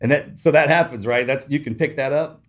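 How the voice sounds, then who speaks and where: A man with a Texas accent hosts a casual podcast chat. He rambles, stops and restarts his sentences, and his accent gets heavier as he warms up. His voice sits at an ordinary middle pitch for a man. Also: brisk. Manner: gets louder and stronger in accent when excited. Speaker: podcast host.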